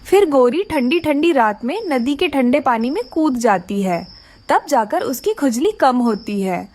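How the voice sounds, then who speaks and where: A woman's voice: high-pitched, very animated, unhurried, darkish tone, no room sound. A woman narrating a scripted children's story.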